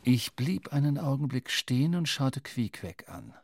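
Wry young sailor telling his tale in an audio drama, bit husky, roomy sound, faint music. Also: medium pitch, clean studio sound. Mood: measured, wry. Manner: measured, expressive storytelling. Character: Ishmael, young sailor and narrator, wry, easily alarmed. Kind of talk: literary audio drama narration.

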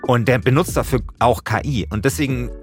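A man's voice: medium pitch, a little fast, faint music behind. A middle-aged man hosting a podcast as a journalist, speaking colloquially in a mix of casual chat and first-person narration.

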